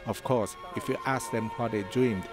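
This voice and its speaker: A man's voice: medium pitch, fairly even intonation, normal speed, music in the background. A man reading dubbed translations of interview answers in a plain, earnest way. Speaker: voice-over translator.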